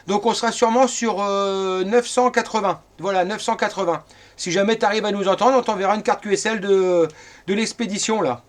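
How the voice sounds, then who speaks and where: A man's voice: high-pitched, steady tone, brisk. A middle-aged man from France chatting casually as an amateur radio operator.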